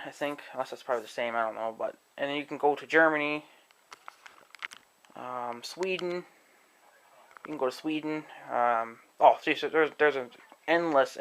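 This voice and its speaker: A man giving a casual, rambling demonstration to viewers, halting and thinking aloud as he talks. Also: mid-range voice, fairly bright tone, clean tone. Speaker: amateur video creator demonstrating an app. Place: indoors in own room at home.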